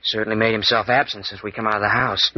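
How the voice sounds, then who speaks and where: Man playing uneasy young radio-serial sidekick, low-pitched, evasive, grumbling, muffled vintage recording. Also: little pitch movement, fast talker, no room sound, close to an everyday voice. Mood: suspicious. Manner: evasive, defensive. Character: young male companion, uneasy, defensive, grumbling. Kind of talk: old-time radio serial acting.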